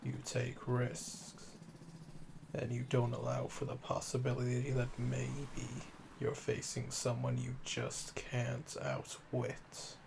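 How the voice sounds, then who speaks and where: A male actor plays a calm, obsessive assassin in an intimate ASMR audio roleplay. His voice is heavily creaky and gravelly, and he takes his time, teasing and flirting with smug deliberation. Clear noise runs behind the voice. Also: mid-range voice, takes it slowly. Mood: admiring, seductive.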